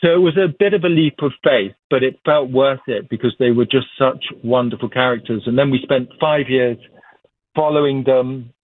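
A man's voice: mid-range voice, no echo. A man, a documentary director who grew up in Uganda, telling his story in loose, chatty interview answers. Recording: tinny phone line.

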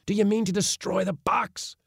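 A man's voice: mid-range voice, a little slow, creaky, bright, forceful tone, no room sound, studio quality, pitched above the performer's own voice, heavily acted. A man voicing an impatient, bossy elderly uncle in a storybook reading, with a blustering, pompous, exclamatory manner. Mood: impatient, roaring.

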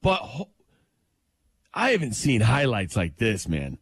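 A man co-hosting a morning radio show, talking sports in an emphatic, excited way and laughing easily. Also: medium pitch, measured pace, slightly rough, no room sound, studio quality.

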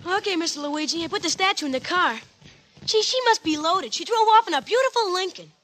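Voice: very high-pitched, expressive, brisk. A performer playing a brash, wisecracking American boy with slangy, cheeky talk in a 1940s radio comedy. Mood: impressed. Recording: noisy vintage 1940s radio recording.

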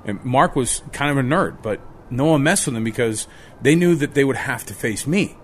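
An actor playing a gentle, ashamed homeless man battling addiction, confessing haltingly in a radio drama. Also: mid-range voice, expressive, slight background noise, lightly acted. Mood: regretful.

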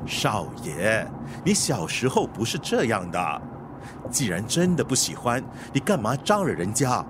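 An actor playing a loyal, nagging chauffeur in a radio drama, earnest and questioning, deferential but blunt. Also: male voice, medium pitch, huge pitch swings, a little slow. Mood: nagging, bewildered. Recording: clear street and vehicle noise.

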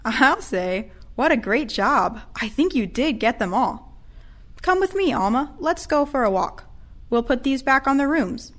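A kind, patient care aide played by an actress in an audio drama. She speaks in a fairly high voice, gentle and encouraging. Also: sing-song, measured pace, full character voice. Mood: cheerful. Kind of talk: audio play acting.

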